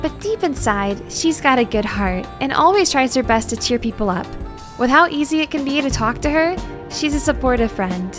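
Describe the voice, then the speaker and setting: Woman acting a reserved, high-voiced bookish young woman. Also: expressive. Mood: reflective narration. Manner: polite, measured, mild. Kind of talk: audio drama acting with narration. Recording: music behind the voice.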